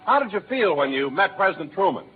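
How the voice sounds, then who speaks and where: A man's voice: high voice, very animated, fast, noisy background. A man acting as a jovial announcer sidekick in 1940s radio comedy, with a hearty, projected American delivery. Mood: admiring. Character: jovial, admiring male announcer sidekick feeding the star questions. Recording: tinny old radio broadcast recording.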